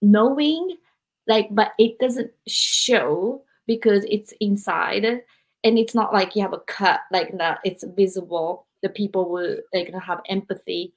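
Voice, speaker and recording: Host, woman in her forties from Japan, mid-pitched, reflective and filler-heavy. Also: unhurried. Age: 40s. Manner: reflective, with frequent "like" and "you know". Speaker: podcast host. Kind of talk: interview conversation.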